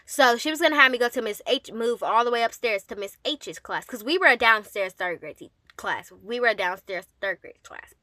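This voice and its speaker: A woman with a fairly high, very bright voice tells a personal story in a casual way. She is emphatic and sassy, throwing out rhetorical questions to her listeners. The sound is dry, with no room on it. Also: expressive, fairly quick, few pauses, no echo. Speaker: storyteller and host addressing an audience.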